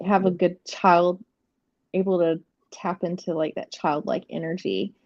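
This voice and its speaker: A woman's voice: on the low side, level tone, unhurried, darkish tone, no echo, dull audio. A woman in her forties from South Carolina, a guest chatting casually and laughing often. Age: 40s. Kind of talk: casual chat.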